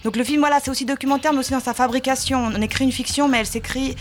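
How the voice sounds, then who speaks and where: A woman from Poland, a filmmaker being interviewed, talking quickly and cutting in to add details. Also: sits high, fairly even intonation, faint music behind. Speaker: filmmaker, guest. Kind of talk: interview conversation.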